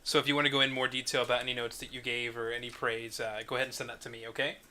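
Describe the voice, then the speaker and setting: A man's voice: medium pitch, level tone, rapid-fire. A man hosting an online group script discussion in a casual, conversational way. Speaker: host of an online script table read. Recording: bright, noisy voice-call microphone.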